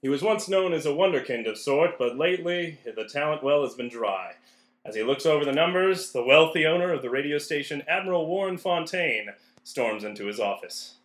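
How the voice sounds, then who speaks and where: A man's voice: fairly high pitch, lively intonation, brisk, echoey room, close to an everyday voice. A man narrating a 1930s-style radio play as scripted storytelling. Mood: expository.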